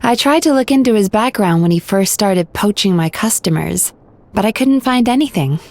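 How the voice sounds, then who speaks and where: A woman voicing a composed, reserved dream-spirit therapist in a video game, measured and politely formal. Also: mid-range voice, animated, a little mellow, slight background noise, lightly acted. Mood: wry, resigned.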